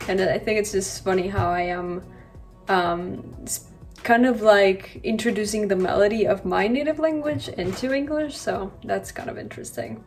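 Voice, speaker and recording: A woman's voice: mid-range voice, moderate pace, soft background music. Chatty young Colombian woman vlogger. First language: Spanish. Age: 20s. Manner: chatty, many likes and you-knows. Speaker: language vlogger. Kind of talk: casual chat to camera.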